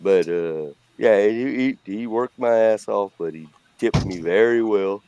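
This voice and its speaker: A man's voice: on the low side, measured pace, dark tone, clear, resonant tone, no room sound. A middle-aged man with a Cajun accent, chatting casually as a co-host in a blunt, rambling way. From Louisiana. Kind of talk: casual chat.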